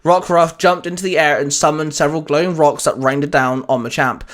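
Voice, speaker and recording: Male storyteller, fairly high voice, narrating scripted fiction. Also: fairly even intonation, fairly quick. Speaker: storyteller, fan fiction reader.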